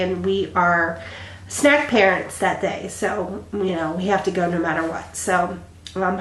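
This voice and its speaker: Congested woman vlogging in an echoey room. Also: on the low side. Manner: rambling, many ums and restarts. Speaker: flosstube vlogger. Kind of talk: casual chat to camera.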